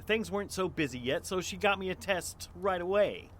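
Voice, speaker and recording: A man's voice: high voice, expressive, normal speed, slight background noise. An actor playing a timid, apologetic American neighbor man in an audio drama, hesitant and stammering. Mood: nervous, confessing.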